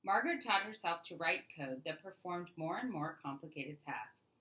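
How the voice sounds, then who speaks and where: Bright-voiced woman reading a picture book aloud. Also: fairly low pitch, very animated, normal speed, low-quality recording. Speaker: read-aloud storyteller. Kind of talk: expressive children's picture book read-aloud narration.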